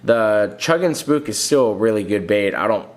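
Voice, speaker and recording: Casting: thirties male fishing vlogger, a bit low, nasal with a cold, plain-spoken, talks to camera from home. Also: expressive, moderate pace. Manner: plain-spoken, restarts often, reads comments aloud. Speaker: fishing channel host. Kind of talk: casual vlog answering viewer comments. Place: home room, indoors.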